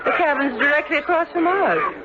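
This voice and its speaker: A fretful, suspicious wife in a gothic radio play. Her voice is high and swings expressively up and down, over a muffled old broadcast. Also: expressive, a little fast, heavy background noise. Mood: curious, alarmed. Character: anxious, inquisitive, suspicious wife in gothic mystery. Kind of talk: 1970s radio drama acting.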